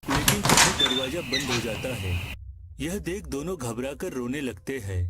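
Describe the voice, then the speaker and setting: A man's voice: medium pitch, very animated, unhurried. A man reading scripted narration for a cartoon moral tale as its storyteller. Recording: music behind the voice.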